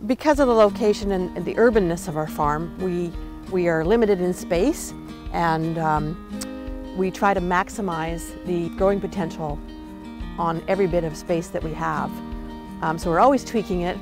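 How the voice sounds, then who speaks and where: Low-voiced older woman farmer. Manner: earnest, relaxed, with fillers. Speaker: urban farmer. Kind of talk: documentary interview. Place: outdoors on a farm. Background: guitar music.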